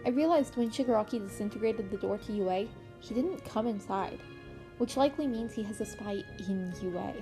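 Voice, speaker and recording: A woman's voice: medium pitch, fairly quick, close to an everyday voice. Woman playing a cheeky, nonchalant teenage boy vigilante. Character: fifteen-year-old boy vigilante, cheeky, blunt, nonchalant. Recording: music playing under the voice.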